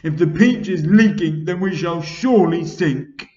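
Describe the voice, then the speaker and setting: A man voicing a timid, gloomy male earthworm who shrieks in a high voice. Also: a little slow, a little mellow, close to an everyday voice. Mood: panicked. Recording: roomy, distant, dull sound.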